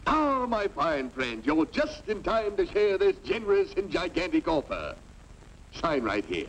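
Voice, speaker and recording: Slick cartoon swindler, gravelly, drawn-out oily patter, distant old film soundtrack. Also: male voice, fairly high pitch, huge pitch swings, fairly bright tone, a little hiss or hum, full character voice. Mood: smooth, coaxing. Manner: oily, grandiose sales patter. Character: slick glib swindling salesman pitching a deal. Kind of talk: 1950s cartoon voice acting.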